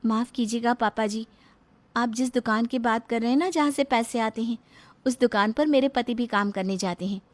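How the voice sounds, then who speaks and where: A woman's voice: a little high, moderate pace, natural voice. A woman voicing a protective, outspoken wife in a family melodrama, pleading yet argumentative. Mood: politely defiant. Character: protective, outspoken wife and daughter-in-law standing up to tyrant. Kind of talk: melodramatic audio story dialogue.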